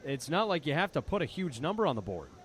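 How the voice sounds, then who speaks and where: A man's voice: a little high, big pitch swings, fast talker. A man giving live, conversational baseball analysis as a color commentator, often repeating words as he reasons. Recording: faint outdoor ambient noise behind. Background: outdoor ballpark ambience.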